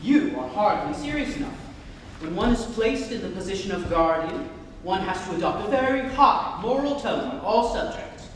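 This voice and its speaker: A teenage male student playing an earnest, evasive and easily indignant young Victorian gentleman in a formal, theatrical dramatic reading. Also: sits high. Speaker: student actor. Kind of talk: dramatic stage reading of a comedy. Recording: far from mic, long hall echo, noise.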